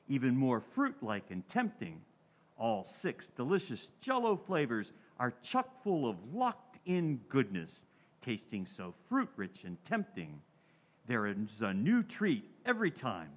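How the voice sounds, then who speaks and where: Animated man as a vintage radio announcer. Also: mid-range voice, heavily acted. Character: cheery, folksy 1940s radio pitchman announcer. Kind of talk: 1940s radio ad and scene narration. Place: community theatre stage radio recreation. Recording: dull, lo-fi stage recording.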